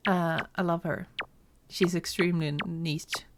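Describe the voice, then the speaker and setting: A woman's voice: on the low side, flat delivery, slight background noise. A woman, chatting casually and rambling while playing a game on a stream. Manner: chatty, rambling, quick to laugh. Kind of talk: casual gaming stream chat. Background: game music.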